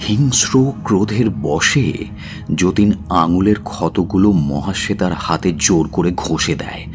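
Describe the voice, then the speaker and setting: A man's voice: deep voice, measured pace, faint music behind. A man narrating a literary audio story in a dramatic, scripted style.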